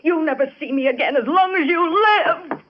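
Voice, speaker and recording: A high-pitched woman's voice on a noisy, muffled old radio recording, an actress as an angry, proud young wife. Her delivery is curt and indignant, in 1940s radio drama style. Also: normal speed, natural voice. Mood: angry, defiant.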